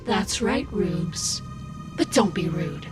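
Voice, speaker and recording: A woman's voice: fairly low pitch, expressive, slow pace. A woman playing a girl turned demon in an audio drama, sinister, condescending and mockingly sweet. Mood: sinister glee. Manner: drawling, condescending. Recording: eerie music behind.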